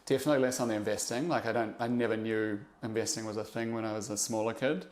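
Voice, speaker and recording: A young man from New Zealand with a New Zealand accent, a podcast guest talking in a reflective, halting way with many fillers and restarts. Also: fairly low pitch, steady tone. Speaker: interview guest, investor. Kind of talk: casual podcast interview.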